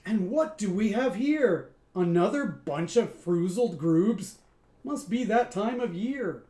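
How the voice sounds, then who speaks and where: A man's voice: high voice, lively intonation, slow, dark tone, voice pushed up. A man reading a picture book aloud, voicing a cool, mocking male alien who leads a junkyard band, with a smug, drawling manner. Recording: roomy echoey room.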